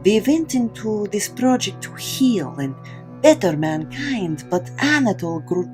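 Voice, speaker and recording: A woman playing a calm, authoritative Soviet vampire kommissar in an audio drama, speaking in a measured, worldly way. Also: mid-range voice, soft background music. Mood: grave, explaining.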